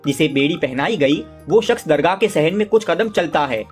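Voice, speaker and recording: A man's voice: on the high side, lively intonation, normal speed, soft background music. Man narrating a shrine documentary.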